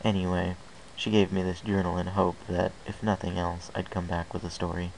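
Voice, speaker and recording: Male amateur story narrator, lowish and gravelly, reading in first person over faint hiss. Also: level tone, moderate pace, darkish tone, low-quality recording. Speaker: amateur fan fiction reader. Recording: hissy amateur home recording.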